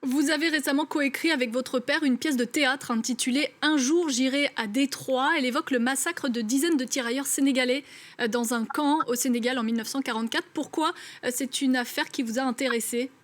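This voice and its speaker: A woman interviewer with a high voice asks prepared questions in a measured, formal tone. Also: fairly even intonation.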